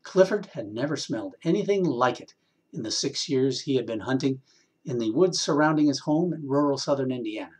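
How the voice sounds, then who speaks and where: Man giving an expressive story reading. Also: medium pitch, a little fast. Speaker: story reader, actor.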